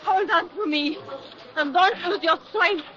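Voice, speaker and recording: A woman's voice: very high voice, unhurried, pushed and bright, exaggerated voice. A woman acting a devoted, resolute lover in earnest 1940s radio drama style. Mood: urgent, resolute. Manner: earnest, insistent, tender. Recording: muffled, noisy vintage 1940s radio recording.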